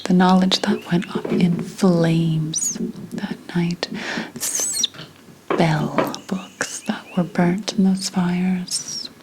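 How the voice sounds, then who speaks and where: A woman playing a reincarnated seventeenth-century witch in an ASMR roleplay, telling her story in a hushed, deliberate, mournful whisper. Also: fairly low pitch, noisy background.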